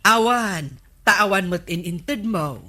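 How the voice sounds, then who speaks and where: Bright, nagging Ilocano wife. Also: female voice, mid-range voice, takes it slowly. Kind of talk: Ilocano radio comedy drama acting.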